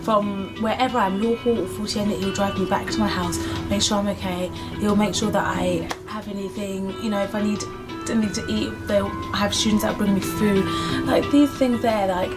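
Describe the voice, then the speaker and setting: Brief: young woman, animated storyteller, middle pitch, music under the voice. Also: normal speed. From Britain. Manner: chatty, animated, acts out quoted voices. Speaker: business owner and English teacher. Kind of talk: casual sit-down interview.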